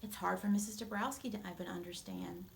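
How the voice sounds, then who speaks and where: A woman reading a storybook aloud and explaining it to children in an expressive, gentle way. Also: mid-range voice, fairly quick, vocal fry.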